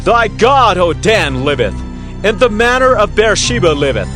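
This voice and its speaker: Man playing a high-pitched, defiant idol worshipper. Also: sing-song, normal speed, music in the background. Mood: fervent. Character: defiant idolatrous sinners of Israel swearing by their god. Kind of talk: dramatized scripture reading.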